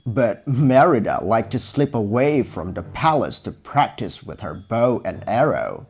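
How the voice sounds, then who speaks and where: A man narrating a scripted children's fairy tale in a measured, expressive storybook style. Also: fairly low pitch, husky. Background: music.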